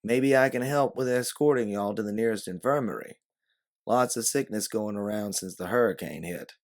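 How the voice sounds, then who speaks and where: Man as courteous, cautious Southern cop, husky drawl, storybook role. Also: fairly low pitch, normal speed, natural voice. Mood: concerned. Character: helpful, cautious Southern male policeman.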